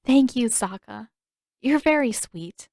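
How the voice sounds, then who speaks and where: A woman voicing a gentle, regretful teenage princess in an audiobook, with soft, careful, polite phrasing. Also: on the high side, animated, slow, creaky, no echo, clean studio sound, pitched above the performer's own voice, heavily acted. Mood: gentle, hopeful. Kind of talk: audiobook character dialogue.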